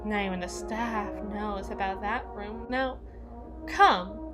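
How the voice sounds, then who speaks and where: A woman playing a jealous, menacing evil queen in an ASMR roleplay, regal and possessive, with theatrical, deliberate formal diction. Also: mid-range voice, music in the background, lightly acted. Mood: silky, coaxing.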